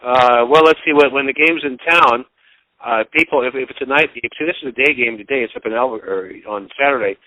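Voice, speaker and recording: A man giving casual sports commentary as an interviewed broadcaster, in a halting, rambling, folksy way. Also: mid-range voice, bright, forceful tone, no echo, rough recording.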